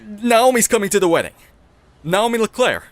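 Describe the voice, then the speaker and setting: A man's voice: high voice, very animated, moderate pace, no room sound. A man acting a grumpy, lovelorn young man in an audio drama, with a dramatic, grumbling delivery. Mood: distressed.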